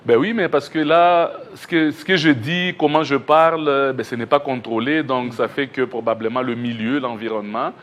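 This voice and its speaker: A middle-aged man from Kinshasa with a Québec-tinged French accent, a researcher answering interview questions in a measured, modest way in a TV studio. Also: medium pitch, fairly bright tone. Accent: Québécois-tinged French. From Kinshasa, Congo. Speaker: education researcher, guest.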